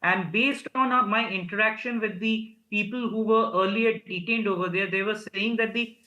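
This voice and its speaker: A man with an Indian accent explaining formally and haltingly as an advocate in a news interview. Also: sits high, fairly even intonation, bright, forceful tone, reverberant, rough recording. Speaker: lawyer and human rights advocate being interviewed.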